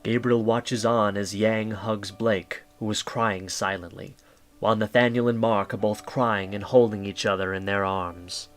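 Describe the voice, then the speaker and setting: A man's voice: on the low side, fairly even intonation, a little slow. A man reading scripted story narration as the narrator of an audio drama. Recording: faint music bed.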